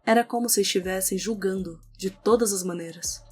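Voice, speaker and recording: A woman's voice: mid-range voice, brisk, a little mellow, slightly rough. A woman with a Brazilian accent reading scripted narration for an audio novel. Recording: music under the voice.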